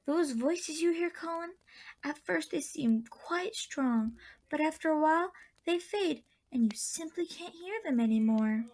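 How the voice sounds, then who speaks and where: A woman playing a kindly old woman of a magical land in a radio drama, speaking with gentle, genteel endearments. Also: high voice, expressive, unhurried. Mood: gentle, wistful.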